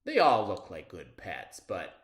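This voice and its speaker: A man reading a children's story aloud expressively, with dramatic pauses and drawn-out words. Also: low voice, huge pitch swings, fairly bright tone. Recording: roomy, echoing sound.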